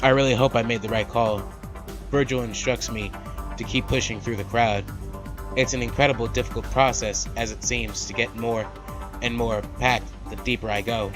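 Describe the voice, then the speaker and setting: A man hosting a let's play, reading a visual novel aloud with animated, slangy casual asides. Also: mid-range voice, moderate pace. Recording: loud background music. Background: game music.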